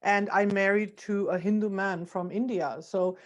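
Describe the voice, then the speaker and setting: A woman from Bangladesh speaking on an author panel. Her voice sits at a mid pitch for a woman, and she talks in an earnest, measured way as she builds a point one example at a time. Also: steady tone. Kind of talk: casual panel discussion.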